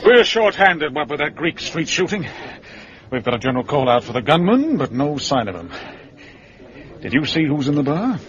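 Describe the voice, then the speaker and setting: An actor playing a dutiful, matter-of-fact British police inspector in a radio drama, speaking in an informal, confiding way. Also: male voice, a little high, very animated, normal speed, a bit bright. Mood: brisk, confiding. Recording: muffled, dull radio drama recording.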